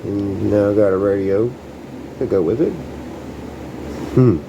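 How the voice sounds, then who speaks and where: A man's voice: lowish voice, fairly even intonation, measured pace, vocal fry, dull audio. A man giving a casual hands-on demonstration to camera as a hobbyist, talking in an informal, folksy way and thinking aloud as he works. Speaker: hobbyist showing restored vintage radios. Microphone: handheld camera, sometimes set down farther away. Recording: loud background hum and ambient noise.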